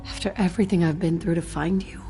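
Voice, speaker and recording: Woman acting a determined mom in a game, lowish voice, earnest, long echo. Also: steady tone, fast, warm tone, faint music behind, close to an everyday voice. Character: determined adult woman, mother searching for her son. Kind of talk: video game dialogue.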